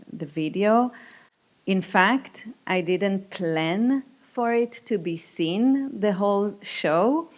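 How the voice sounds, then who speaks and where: A woman from Israel with an Israeli accent, answering questions on a panel in a halting, thoughtful way. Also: on the low side. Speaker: composer, panelist. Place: live event venue with audience.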